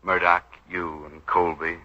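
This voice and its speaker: A tough, flippant bodyguard in a 1940s radio drama. His voice sits on the low side and cuts through sharply. He tosses out dry wisecracks in a hard-boiled style, on a dull vintage recording. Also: level tone, a little slow, bright, forceful tone. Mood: wry, flirtatious.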